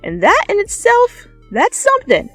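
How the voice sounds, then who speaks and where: A woman's voice: very high-pitched, huge pitch swings, normal speed, fairly bright tone, no echo. A woman reading fanfiction as a channel host, with dramatic narration and goofy, self-mocking, casual asides. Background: faint music.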